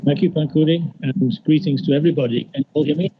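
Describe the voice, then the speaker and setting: A man's voice: on the high side, narrow, tinny audio, slight background noise. Reflective Liverpool man reading poetry. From Liverpool, England. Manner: reflective, unhurried storytelling with pauses. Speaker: poet. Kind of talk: reading his poem at an online gathering. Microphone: video call.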